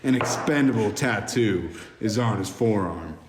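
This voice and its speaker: A man's voice: on the low side, expressive, a little slow. A man reading screenplay directions aloud in plain, amateur narration at a podcast table read. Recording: echoey room, long reverb, background noise.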